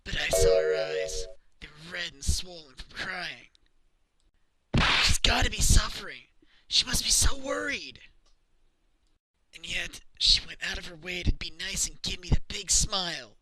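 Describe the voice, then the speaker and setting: A man's voice: a little high, steady tone, moderate pace, bright, forceful tone, poor audio. A man playing an earnest, gloomy astronaut who runs himself down, in an amateur game fandub with dramatic, emotional delivery. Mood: anguished, pleading. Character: earnest, gloomy, self-deprecating male astronaut.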